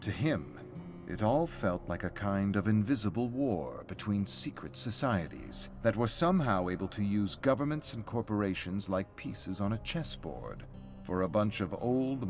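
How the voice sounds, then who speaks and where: A man's voice: fairly low pitch, big pitch swings, a little slow, a little mellow. A man reading scripted story narration as the narrator of a game's lore. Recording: faint music under the narration. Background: music.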